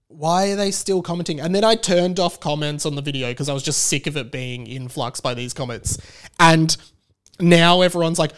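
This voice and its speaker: A man's voice: on the high side, clear, resonant tone. A man hosting a casual, comedic solo podcast, rambling bluntly and swearing freely. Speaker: podcast host.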